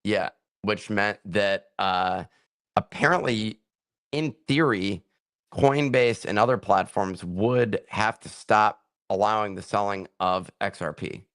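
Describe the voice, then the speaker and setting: Low-voiced teasing male co-host, dry sound. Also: slow, a bit bright, dry recording. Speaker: podcast co-host. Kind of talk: casual podcast banter.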